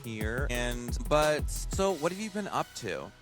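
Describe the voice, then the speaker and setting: A sick male host with a raspy voice chats loosely over a video call, rambling and apologizing as he goes. Music plays clearly beneath him. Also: fairly high pitch, big pitch swings, measured pace. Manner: rambling, self-deprecating, apologetic. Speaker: podcast host. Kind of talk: casual chat.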